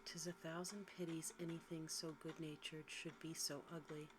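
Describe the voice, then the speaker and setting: Woman playing a kind young storybook heroine, low soft voice, sincere, timid, polite, hushed, faint noise. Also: little pitch movement, moderate pace, natural voice. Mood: troubled.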